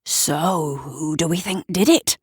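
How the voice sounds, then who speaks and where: Woman reader as a gossipy storybook bloke, sardonic, conspiratorial, slightly low voice, dry recording. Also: slow pace, slightly rough, no echo, exaggerated voice. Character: gossipy, wry man in the pub.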